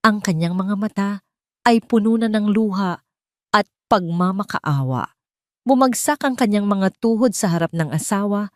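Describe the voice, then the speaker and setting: A woman's voice: medium pitch, animated, normal speed, a little mellow, dry recording, studio quality. A woman delivering dramatic scripted narration as the storyteller of an audio drama.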